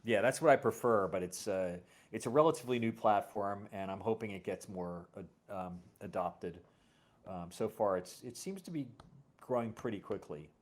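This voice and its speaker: A man's voice: fairly low pitch, expressive, normal speed. A man hosting a casual interview, talking in a hesitant, rambling way and often correcting himself. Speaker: podcast host and audio drama series creator.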